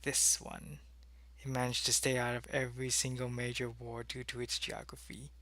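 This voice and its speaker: A man voicing an earnest, devoted alien spy in an ASMR audio roleplay, speaking in a measured, halting way. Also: medium pitch, completely flat delivery, pushed and bright, no room sound, natural voice. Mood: cautious, informative.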